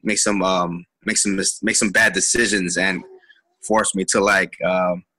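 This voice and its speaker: Man, from Chicago, deep voice, bright tone, halting with ums, dry sound. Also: little pitch movement, no room sound, rough recording. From Chicago, USA. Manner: halting, many ums and restarts. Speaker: guest. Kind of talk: casual interview storytelling.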